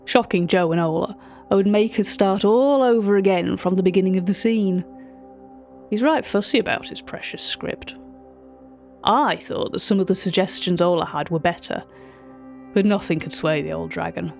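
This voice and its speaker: An actress playing a chatty, wry British gallery writer, telling a story directly to a listener in audio drama narration. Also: female voice, medium pitch, normal speed, creaky, a little mellow, soft background music, natural voice.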